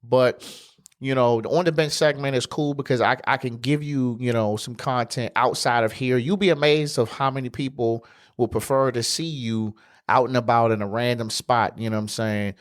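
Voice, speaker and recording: A man, hosting a casual solo sports podcast in his home studio, stuffy and congested, talking in a relaxed, slangy way. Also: medium pitch, moderate pace, clean tone. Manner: relaxed, conversational, loose slang. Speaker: podcast host.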